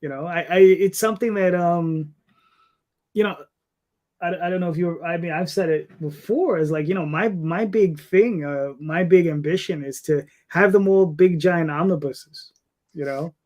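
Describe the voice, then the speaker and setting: A man's voice: on the high side, moderate pace, a little mellow. A man in his fifties talking casually as an interview guest about his creative work, in a rambling way. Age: 50s.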